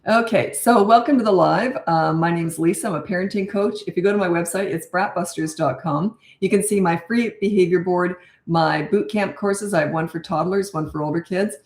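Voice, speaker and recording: Blunt raspy older woman. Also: on the low side, moderate pace, hardly any pauses, reverberant. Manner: blunt, direct, chatty. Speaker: parenting coach. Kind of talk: live-stream Q&A chat.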